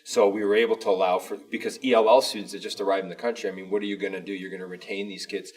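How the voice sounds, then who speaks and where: A man's voice: lowish voice, little pitch movement, very fast, far from the mic. A man speaking as a school superintendent in an informal panel discussion, explaining emphatically in a rambling way. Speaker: school superintendent co-hosting a district show.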